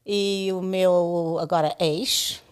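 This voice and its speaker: A woman's voice: fairly low pitch, steady tone, slow, clean tone. A woman in her seventies from East Timor, telling her life story as an interview guest, chatty and laughing often. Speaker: interview guest, radio pioneer. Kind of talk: storytelling conversation.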